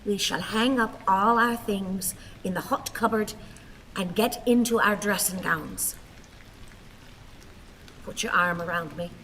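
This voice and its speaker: Slow-speaking old Irish wife in radio play. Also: female voice, mid-range voice, fairly bright tone. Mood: weary, tender. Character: frail, talkative, emotional old Irish wife. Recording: reverberant hall, hissy rain-like background noise.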